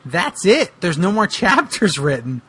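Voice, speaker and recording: A man with a husky, gravelly voice chatting casually and jokingly as a podcast host. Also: sits high, very animated, a little slow, fairly bright tone, no room sound.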